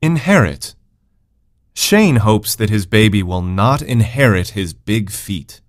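A man narrates scripted example sentences for a vocabulary lesson. His voice is somewhat low and lively in pitch, with an unhurried pace.

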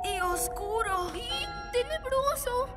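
A woman voicing an excitable, whiny, gullible young wolf kid villain in a cartoon, with a Latin American Spanish accent. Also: extremely high pitch, slow, loud music behind the voice, full character voice. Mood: fearful. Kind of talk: animated cartoon dub. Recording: loud cartoon score behind voice.

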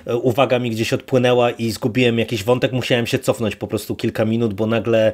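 A man co-hosting a podcast, casually discussing a review in a halting way as he searches for words. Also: medium pitch, flat delivery. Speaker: podcast co-host. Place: virtual studio, remote recording.

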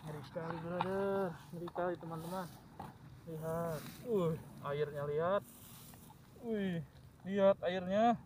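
A man filming himself eel fishing, talking excitedly to viewers and joking, recorded outdoors in a grassy wetland. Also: high voice, unhurried. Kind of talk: casual outdoor fishing commentary. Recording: outdoor field recording with loud ambient noise.

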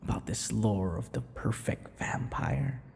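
A man voicing a possessive, centuries-old vampire in an intimate ASMR boyfriend roleplay, teasing and breathy, often dropping toward whispers. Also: lowish voice, a little slow, darkish tone. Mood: reminiscing. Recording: long reverberant echo.

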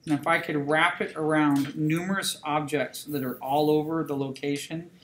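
A man's voice: a little high, steady tone, moderate pace, a bit bright. Roomy-sounding male drawing instructor. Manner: conversational, repeats "okay?" checks with students. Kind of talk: classroom lecture while drawing. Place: classroom. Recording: roomy classroom with faint noise.

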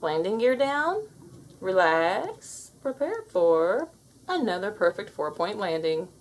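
A smug alpha cat from a children's storybook, voiced by a woman, holds forth with theatrical pride. The delivery is unhurried, with a very lively, swinging pitch. Every phrase is boastful and sassy. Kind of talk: children's storybook read-aloud.

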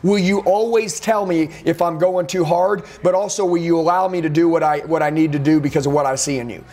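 A middle-aged man drives a sales training session with blunt, preachy intensity. His voice sits fairly high for a man. He keeps slipping into role-played pitches to show how it's done. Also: fairly even intonation, brisk, mellow. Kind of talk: motivational sales coaching. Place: dark studio office with neon sign.

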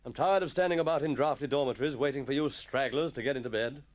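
A man's voice: medium pitch, fast, natural voice. A man voicing a grumpy, blustering middle-aged schoolmaster with a stern, spluttering manner in a story reading. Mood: irritated, blustering.

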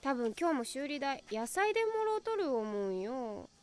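A woman playing an easygoing, frank teenage schoolgirl in an animated film, speaking casual Okayama dialect. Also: high-pitched, normal speed. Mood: grumbling, casual. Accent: Okayama Japanese.

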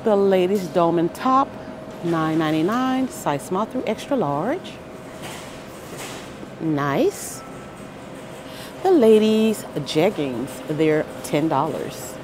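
Slow, lively woman touring store aisles. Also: fairly low pitch, noisy background. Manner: upbeat, listing items and prices with little exclamations. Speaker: shopping vlogger. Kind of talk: shop-with-me price walkthrough. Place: aisles of a large grocery store, indoors. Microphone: handheld phone camera.

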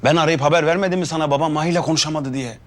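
A man's voice: fairly high pitch, steady tone, close to an everyday voice. An actor playing Ferman, an anxious young man who pleads and complains about being his family's underdog. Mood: pleading. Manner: fast, pleading, self-pitying. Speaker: actor in a TV drama. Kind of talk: TV drama acting.

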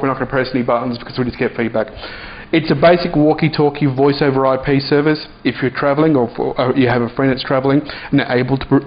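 A man presenting a casual, unscripted product demonstration as an app reviewer. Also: medium pitch. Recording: dull lo-fi sound, clear background noise.

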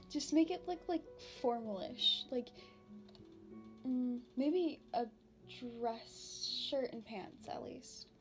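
Woman as young demon princess, playful, anxious, giggly, fairly high pitch, unhurried halting delivery, casual ASMR roleplay. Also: close to an everyday voice. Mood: coaxing, playful. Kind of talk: ASMR roleplay, unscripted-sounding casual chat.

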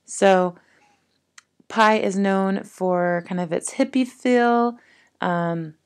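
Woman travel vlogger, thirties, casual chatty narration, slightly low voice. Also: darkish tone. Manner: chatty, upbeat, rambling asides. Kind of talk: casual travel vlog voice-over.

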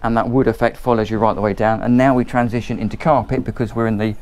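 A man's voice: lowish voice, fairly even intonation, fast, darkish tone. A man giving a chatty, ad-libbed walkthrough tour of a motor boat, filmed handheld on board at a marina. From England. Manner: chatty, enthusiastic, loosely ad-libbed. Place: on board a motor boat at a marina, moving between cabins and decks.

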